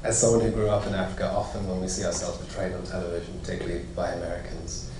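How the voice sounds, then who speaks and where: A man's voice: deep voice, flat delivery, rapid-fire. A man from Zimbabwe, an actor being interviewed, talking in a reflective, rambling way with many fillers. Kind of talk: press junket interview. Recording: echoey room, distant mic, noisy.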